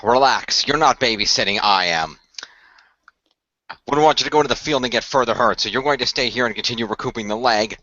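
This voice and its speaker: Male squad leader for radio drama man acting, formal and hesitant, medium pitch, crisp edge, slightly dull close recording. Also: fairly quick, bright, forceful tone, no room sound. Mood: calm, commanding. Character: measured, formal male team leader briefing young demon-fighting heroes. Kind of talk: amateur radio play role-play.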